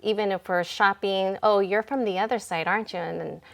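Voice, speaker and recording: A woman in her forties speaks with a mid-range pitch in an easygoing interview. She reflects as she goes, unhurried, with frequent ums and small pauses. She is a school principal looking back on her path into her work. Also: steady tone, vocal fry, fairly bright tone. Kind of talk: casual interview talk.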